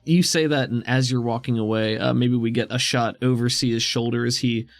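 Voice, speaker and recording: A man's voice: mid-range voice, fairly even intonation, normal speed, dry recording. A man acting as game master, talking through rules and narrating scenes in a conversational way.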